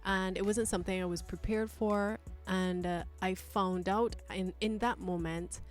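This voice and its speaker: A woman's voice: medium pitch, steady tone, unhurried, faint music behind. A woman from Barbados, talking candidly and thoughtfully as a guest in a personal interview. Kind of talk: reflective personal conversation.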